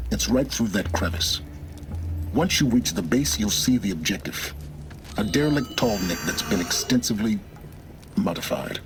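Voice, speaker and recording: An actor voicing a secretive, self-serving male schemer who talks in a curt, controlled, commanding way. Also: fairly low pitch. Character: secretive, cold male schemer guiding a hero. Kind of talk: video game cutscene acting. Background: game score music.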